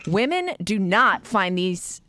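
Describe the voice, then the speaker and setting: A woman's voice: mid-range voice, big pitch swings, slow pace, a bit bright. A woman co-hosting a radio show, chatting with dry amusement and reading a listener's post aloud. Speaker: radio co-host.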